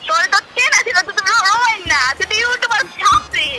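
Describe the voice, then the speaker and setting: A very high-pitched young Bangladeshi woman banters scornfully over a phone call. She talks fast and casually, with loud music over the recording. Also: sing-song. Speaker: friend being prank called.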